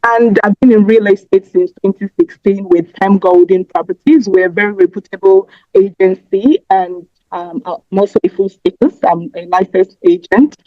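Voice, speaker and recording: A woman's voice: mid-range voice, normal speed, hardly any pauses, no echo. A woman, chatting as a podcast guest, talking in a rambling way with fillers and restarts. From Nigeria. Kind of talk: casual interview answers. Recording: slightly muffled dry audio.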